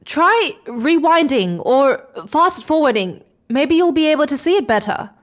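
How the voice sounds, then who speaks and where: An inquisitive female space explorer with a high, very lively voice. She takes her time over her lines in a hesitant, questioning way, and has an excitable temper suited to sci-fi audio drama. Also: a little slow. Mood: urgent, excited.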